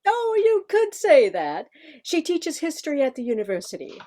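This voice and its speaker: Woman performing refined female professor, high-pitched, lively swings, arch, curious, theatrical, comic radio play. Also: moderate pace. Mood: amused, curious. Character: refined, curious woman professor who scorns mundane cheese.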